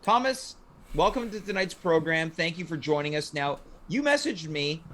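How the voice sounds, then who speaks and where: A man's voice: fairly high pitch, expressive, normal speed, a bit bright. A man hosting a casual live comedy talk show, with playfully grand announcer-like phrasing.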